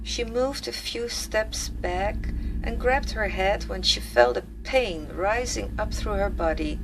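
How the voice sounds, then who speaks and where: A woman narrates a fantasy thriller radio play at a slow, measured pace. Her voice sits at an average pitch for a woman. The recording is clearly noisy, with sound effects behind her. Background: sound effects and ambience.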